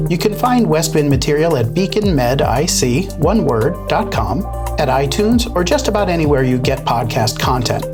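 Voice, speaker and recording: A man hosting an interview podcast as a medical oncologist, reading his intro and then asking questions in a measured, careful way. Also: on the high side.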